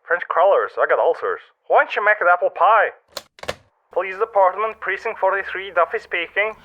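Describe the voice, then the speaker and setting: An actor in a radio drama playing a weary, unbothered police desk sergeant with an offhand, placating manner. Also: male voice, sits high, lively intonation, moderate pace, highs cut off, slight background noise. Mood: grumbling, offhand.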